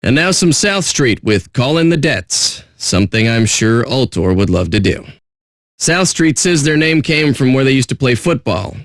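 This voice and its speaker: Rude radio DJ, sardonic, blunt, mid-range voice, dry close sound. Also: male voice, medium pitch, no room sound. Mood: scornful. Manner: sardonic, blunt, insulting, profane. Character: jaded, rude male pirate radio DJ. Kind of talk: irreverent radio DJ patter.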